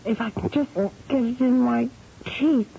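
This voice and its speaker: A woman's voice: a little high, unhurried, gravelly. An actress playing a frightened, pleading young woman held hostage in a 1970s radio thriller, urgent and stammering. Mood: anxious, urgent. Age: young adult. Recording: dull, noisy old radio recording.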